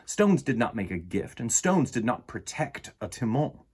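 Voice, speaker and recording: Husky middle-aged man telling children's stories. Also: mid-range voice, very animated, normal speed, a little mellow. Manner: theatrical, varied delivery addressed to young listeners. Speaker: children's storytime host and reader. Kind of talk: expressive picture-book storytelling for children. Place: indoor room lined with bookshelves.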